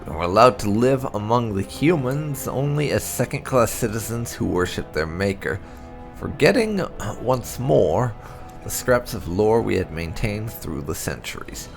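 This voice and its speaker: A man reading fantasy game lore aloud as scripted narration, in a measured, storybook-like delivery. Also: fairly low pitch, big pitch swings, creaky, faint music behind.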